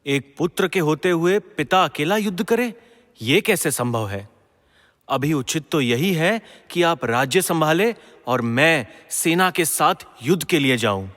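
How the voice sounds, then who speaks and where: Young prince, male actor, mythological radio drama. Earnest, respectful, impassioned, slightly high voice with long reverb. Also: lively intonation, unhurried, fairly bright tone. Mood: pleading, resolute. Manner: formal, respectful, impassioned declamation. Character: earnest, brave, dutiful young prince, a king's son. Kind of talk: Hindi mythological audio drama acting.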